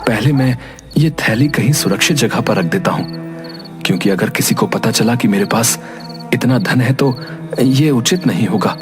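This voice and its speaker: A man playing an honest, humble Brahmin bullock-cart driver in a moral-story cartoon, speaking earnestly and deliberately. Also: medium pitch, music in the background, natural voice. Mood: cautious. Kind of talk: acted Hindi moral-story cartoon dialogue.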